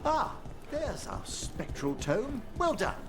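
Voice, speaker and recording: An actor voicing a refined, scholarly older gentleman necromancer in a video game, with precise, theatrical manners. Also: high voice, wildly swooping pitch, slow pace, noisy background, heavily acted. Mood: intrigued, courtly. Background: game music.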